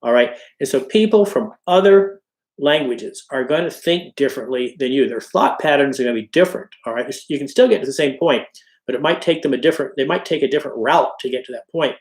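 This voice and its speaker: A man's voice: mid-range voice, a little fast, darkish tone. Middle-aged male instructor, casual lecture delivery, constant check-ins with the class, echoey room. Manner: conversational, frequent "okay?" and "all right?" check-ins. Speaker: college instructor. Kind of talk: informal recorded lecture.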